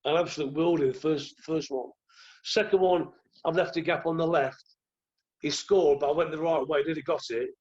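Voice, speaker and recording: A croaky-voiced man, telling rambling stories as a podcast guest. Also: on the high side, fairly even intonation, moderate pace, a little mellow, lo-fi sound. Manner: rambling, restarts often. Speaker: guest, former goalkeeper. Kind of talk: relaxed anecdotal chat.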